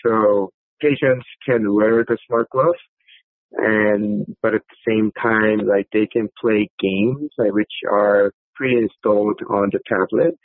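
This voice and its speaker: A man with a Korean accent answers as an interview guest in a lowish voice. He speaks conversationally and haltingly, with many filler words, over a thin, phone-like line with no room sound. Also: steady tone, dry recording. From South Korea. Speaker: podcast guest, company executive.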